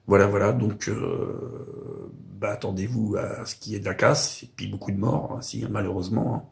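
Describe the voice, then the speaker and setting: A man's voice: lowish voice, very animated, normal speed, creaky, a little mellow. An older man from Paris, talking to camera in a casual, rambling monologue full of hesitant fillers. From Paris, France. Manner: hesitant, frequent "euh" and "hein" fillers. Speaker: video blogger. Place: home in the countryside.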